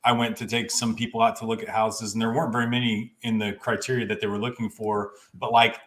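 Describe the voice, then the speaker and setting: A man's voice: on the low side, flat delivery, quick pace, fairly bright tone. A man who is a real estate agent, chatting in a laid-back way as a livestream co-host. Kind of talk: casual livestream chat.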